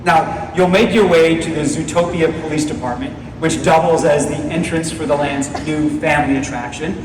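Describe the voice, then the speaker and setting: A man's voice: a little high, fairly even intonation, normal speed, distant mic. Man presenting in a big echoey hall. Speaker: company executive presenting on stage. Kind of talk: keynote presentation to a live audience. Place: large darkened convention auditorium, on stage. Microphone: stage PA heard from far back in audience. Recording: audience phone recording, echoey hall, crowd noise.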